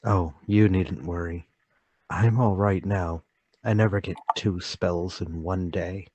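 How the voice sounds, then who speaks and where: An actor playing a timid, troubled, lonely man confessing to murder in a radio-play table read, halting, pleading and intense. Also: deep voice, steady tone, a little mellow, slightly rough, highs cut off, heavily acted. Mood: self-pitying.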